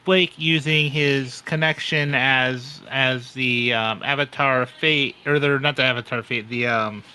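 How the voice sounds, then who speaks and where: A man talking as a player in a tabletop roleplaying game, explaining his move in a halting, thinking-aloud way. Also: mid-range voice, steady tone, pushed and bright, smooth.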